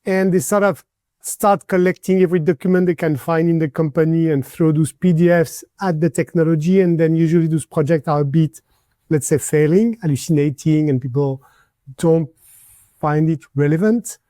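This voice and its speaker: High, mellow-voiced man asking questions. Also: normal speed, no echo. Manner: inquisitive, hedging, searching for words. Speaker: conference panelist. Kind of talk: conference panel talk.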